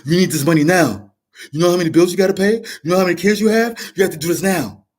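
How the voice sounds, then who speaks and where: Man doing a raised-pitch, hurried ego voice that nags and pushes. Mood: nagging. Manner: urgent, nagging, insistent. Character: pushy inner ego voice, male.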